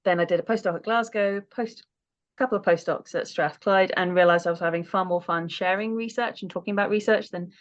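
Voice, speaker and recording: A woman's voice: fairly low pitch, fairly quick. A woman in her thirties from the south coast of England with a southern English accent, chatting as a podcast guest in a self-deprecating way, full of "um"s. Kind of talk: casual interview chat. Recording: dull, lo-fi remote audio.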